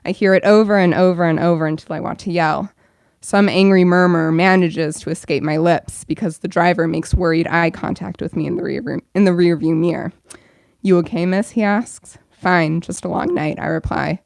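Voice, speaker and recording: A woman's voice: fairly low pitch, steady tone, normal speed, creaky, darkish tone, no echo, studio quality. A young woman, a bookstore events director, welcoming an audience and then reading her own fiction aloud at a store event.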